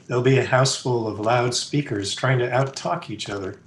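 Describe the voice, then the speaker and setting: A man's voice: mid-range voice, moderate pace, close to an everyday voice. A man playing a sardonic, wisecracking young fiancé in an old-time radio drama. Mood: sardonic griping. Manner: wisecracking, griping, slangy. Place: indoor room, recorded over a video call. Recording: roomy echo, noisy home video-call recording.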